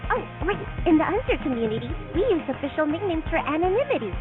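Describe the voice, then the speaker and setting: A woman's voice: very high-pitched, very animated, fast. An actress playing a cheerful young female new hire in a video game, politely explaining things in a perky way. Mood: perky, helpful. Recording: phone-like thin sound, music behind.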